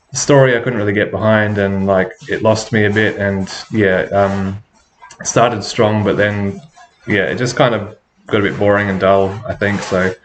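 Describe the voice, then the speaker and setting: Man with a low, flat voice, a bit off from feeling ill, chatty rambling reviewer with lots of ums, faint hiss behind. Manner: rambling, many ums and restarts. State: under the weather, a bit off. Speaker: film reviewer. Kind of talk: casual tier-list commentary.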